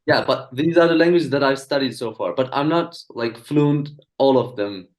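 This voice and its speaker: A young man from Turkey, a native speaker of Azerbaijani and Turkish, talking as an interview guest with hesitant pauses and fillers. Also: mid-range voice. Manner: hesitant, many fillers and restarts. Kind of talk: casual video-call interview.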